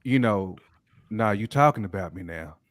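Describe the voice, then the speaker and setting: A man's voice: lowish voice, lively intonation, moderate pace, no room sound, studio quality. A man co-hosting a podcast in casual, slangy banter. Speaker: podcast co-host.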